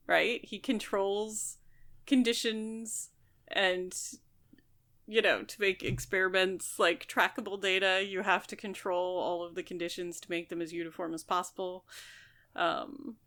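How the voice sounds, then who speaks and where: Woman, podcast co-host, medium pitch, casual book chat, analytical, reasons out loud. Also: level tone, measured pace.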